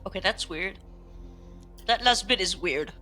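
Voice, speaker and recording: A woman's voice: mid-range voice, expressive, measured pace, pushed and bright, faint music behind. A woman playing a practical, concerned safety officer on a space station, in conversational improvised audio drama with an informal, apologetic manner. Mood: apologetic, wary.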